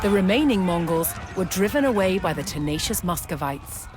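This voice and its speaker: Woman narrating history with measured drama. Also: lowish voice, expressive. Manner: measured, dramatic storytelling delivery with deliberate pauses. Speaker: documentary-style game narrator. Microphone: studio voice-over microphone. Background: music.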